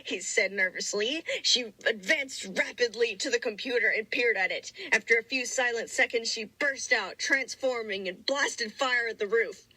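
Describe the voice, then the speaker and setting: A woman's voice: a little high, moderate pace, few pauses, bright, forceful tone, slightly rough, no echo, low-quality recording. A woman giving a mock-dramatic story reading with casual commentary, sarcastic and mock-grandiose in manner. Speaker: reader of own childhood fanfiction.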